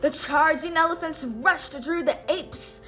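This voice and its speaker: A woman reading a storybook aloud to a child in an expressive, instructional way, pausing on words to be repeated. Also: sits high, faint music behind. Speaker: storybook read-aloud host. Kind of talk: children's read-aloud narration with call-and-response prompts.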